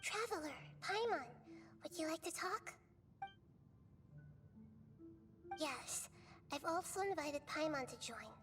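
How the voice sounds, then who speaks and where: A woman voicing a gentle, wise, childlike goddess in a video game, calm and kindly with composed authority. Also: high-pitched, moderate pace. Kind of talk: animated video game voice acting. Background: music.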